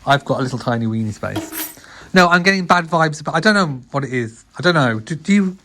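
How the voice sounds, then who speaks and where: A man's voice: a little high, expressive, a little fast, bright, forceful tone. A man hosting a casual vlog, chatting to viewers and narrating what is around him. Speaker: vlog host. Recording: noisy handheld vlog with crowd and street sounds.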